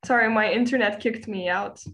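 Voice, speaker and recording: A woman's voice: medium pitch, brisk, fairly bright tone. A nineteen-year-old woman from Lithuania hosts an online chat. She sounds friendly and a little unsure, with frequent ums. Her voice sits in an ordinary middle register, heard through a video call. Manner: friendly, hesitant with many ums. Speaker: podcast host. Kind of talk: casual online group chat.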